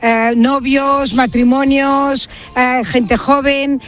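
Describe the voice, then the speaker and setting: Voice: sits high, little pitch movement, slow pace, a bit bright. A person from Barcelona, Spain, organiser of a pilgrim group, giving casual explanatory answers in an outdoor interview. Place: outdoors in a meadow.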